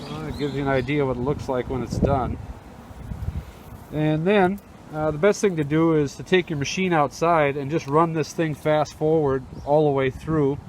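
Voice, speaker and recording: A man's voice: on the high side, steady tone, moderate pace, darkish tone. A man giving a casual, easygoing step-by-step tutorial through a face mask, recorded outdoors on a rooftop. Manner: informal, easygoing step-by-step talk. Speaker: host demonstrating a how-to restoration. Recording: noisy outdoor camera audio.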